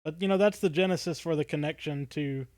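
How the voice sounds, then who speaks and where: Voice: mid-range voice, quick pace, darkish tone, no room sound. A podcast co-host with a mid-range voice of unclear gender, in casual discussion. The delivery is tentative, as if thinking aloud, and the sound is very dry.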